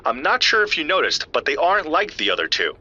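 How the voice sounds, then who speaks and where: A man's voice: a little high, wildly swooping pitch, quick pace, sharp tone, slightly rough, muffled recording, heavily acted. A man playing an earnest, geeky scientist in an audio drama, explaining evidence to a friend in precise technical detail. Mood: assured, wry. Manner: precise, technical, lecturing yet familiar. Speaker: scientist analysing footprint casts.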